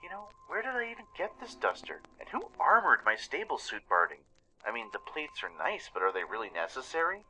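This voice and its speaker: A man's voice: mid-range voice, big pitch swings, brisk, bright, forceful tone, pitched above the performer's own voice, low-quality recording. A man voicing a meek, anxious, naively curious young pony in a radio play, with flustered questions and stammers. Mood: puzzled.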